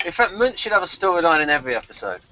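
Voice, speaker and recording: A man's voice: sits high, big pitch swings, racing, sharp tone, no echo, lo-fi sound. A man co-hosting a casual TV review chat, speaking in an opinionated, emphatic way. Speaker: podcast co-host.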